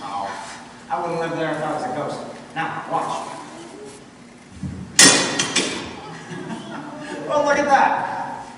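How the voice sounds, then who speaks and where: An actor playing a restless young man, an eager dreamer who talks in an earnest, expressive way, in an amateur radio play. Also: slow pace, pauses often. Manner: earnest, expressive, swinging pitch. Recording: echoey hall, distant mic, noisy.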